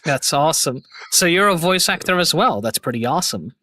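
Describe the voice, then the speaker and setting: Young-sounding man, bright and upbeat. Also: on the high side, expressive, fairly quick, dry recording. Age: 20s. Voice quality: youthful-sounding. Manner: upbeat, laughs often, trails off mid-sentence. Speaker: host. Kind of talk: casual livestream chat.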